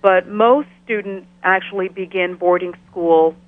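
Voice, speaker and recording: A middle-aged woman who grew up in Ghana, answering interview questions over the telephone in a measured, thoughtful way, often restarting her sentences. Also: lowish voice, steady tone, no echo. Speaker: interview guest, biochemistry professor. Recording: tinny phone line, dry.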